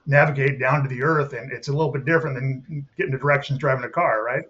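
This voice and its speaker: Fast-talking man co-hosting a podcast. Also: medium pitch, steady tone, a bit bright, highs cut off. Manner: agreeable, fills with "you know" and "yeah". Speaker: podcast co-host. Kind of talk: casual interview chat.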